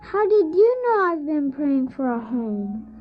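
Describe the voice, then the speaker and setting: A woman's voice: sits high, sing-song, measured pace. A woman playing a poor young newsboy, earnest, trusting and wide-eyed, in an audio drama. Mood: awed. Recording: muffled old cassette drama, faint noise.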